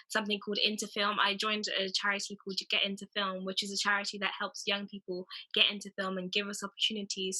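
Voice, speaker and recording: Bright, sharp young London woman. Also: mid-range voice, rough recording. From London, England. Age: 20s. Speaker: interview guest, drama graduate. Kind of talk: casual conversational account.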